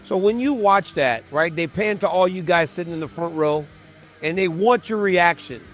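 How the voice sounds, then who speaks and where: A man's voice: sits high, soft background music. A man hosting an interview, asking long conversational questions. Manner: conversational, quick follow-up prompts. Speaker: interview host.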